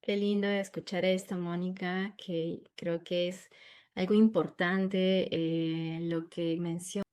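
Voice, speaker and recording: A woman's voice: on the low side, flat delivery, slow pace, a little mellow, smooth. A woman from Peru hosting an interview, appreciative as she leads the questions and thanks her guest. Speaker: interview host.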